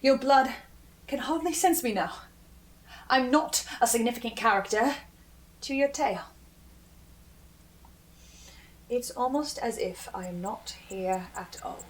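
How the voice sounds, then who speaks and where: A woman playing a composed, eerie researcher in a horror audio drama, speaking in a deliberate theatrical way. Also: a little high, expressive, lightly acted. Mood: intense, persuasive. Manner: slow, deliberate, ominous, suave.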